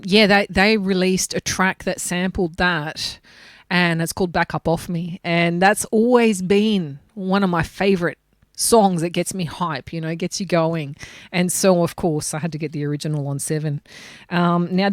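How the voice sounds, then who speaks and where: Woman DJ guest, hoarse and slightly raspy, low-pitched, dry close sound, animated storyteller. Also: a little slow, no echo. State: hoarse from a cold. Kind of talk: casual chat.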